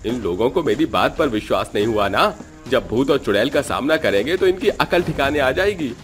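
A man's voice: mid-range voice, lively intonation, moderate pace, faint music behind, pitched above the performer's own voice, close to an everyday voice. A man voicing an agitated, indignant older village man in a cartoon story, exclaiming in an aggrieved way. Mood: bitter muttering.

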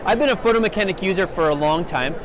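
A middle-aged man hosting a photography video, introducing a trade show visit in a relaxed way and correcting himself as he goes. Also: high voice, fast talker, a crowd in the background. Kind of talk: casual vlog intro. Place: busy trade show floor indoors. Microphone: phone at arm's length. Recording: crowded trade show hall.

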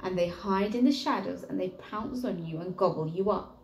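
A woman's voice: lowish voice, moderate pace, gravelly, a little mellow, roomy sound, slight background noise. A woman voicing a small, earnest lost boy in a children's storybook, emphatic and dramatic. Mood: emphatic warning. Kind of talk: children's storybook read-aloud.

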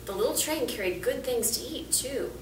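A woman reads a children's picture book aloud with lively, rising and falling intonation. She is recorded from a little distance in an echoey small room, with faint background noise. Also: high-pitched, moderate pace. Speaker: volunteer storybook reader. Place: small indoor room, seated. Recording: roomy, distant camera mic, faint noise.

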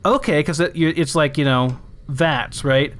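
A man's voice: a little high, unhurried, fairly bright tone. A man giving casual let's play commentary, thinking aloud as he plays.

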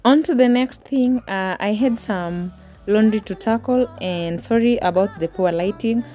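A woman's voice: medium pitch, unhurried, soft background music. A woman, casually narrating her home cleaning in a chatty voice-over. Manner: relaxed, chatty, small restarts. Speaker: homemaking vlogger.

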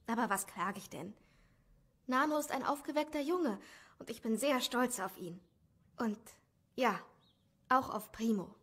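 A woman acting a dreamy village mother in an audio drama, musing expressively in a slightly husky voice. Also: a little high, fairly bright tone. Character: dreamy, proud village mother, longing for a finer life.

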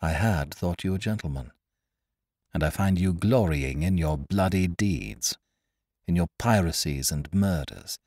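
Earnest fiery young lady, man doing her voice, raised pitch, dry sound. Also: expressive, unhurried, darkish tone, no room sound, full character voice. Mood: indignant. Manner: earnest, heated. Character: earnest young woman, spirited and indignant.

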